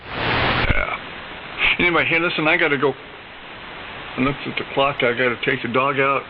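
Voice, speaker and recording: A man's voice: medium pitch, normal speed. A man chatting in a casual, folksy and easygoing way over CB radio. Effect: two-way CB radio transmission. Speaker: CB radio operator chatting on air. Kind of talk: casual chat. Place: heard over a CB radio receiver. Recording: dull CB radio with heavy hiss.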